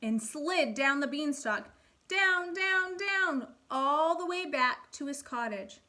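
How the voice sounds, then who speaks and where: A woman's voice: sits high, animated, slow pace, sharp tone. A woman speaking as an elementary teacher, reading and explaining expressively to young children with guiding questions. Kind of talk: read-aloud and lesson for young children.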